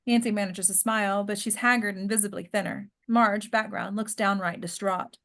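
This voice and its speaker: A woman's voice: mid-range voice, steady tone, normal speed, a bit bright. A woman reading scripted stage directions as narrator of a screenplay table read.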